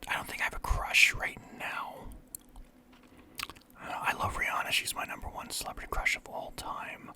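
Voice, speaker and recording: A young man from Canada whispering through a casual, rambling list of favorites as a vlogger. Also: normal speed. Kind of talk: whispered ASMR list chat.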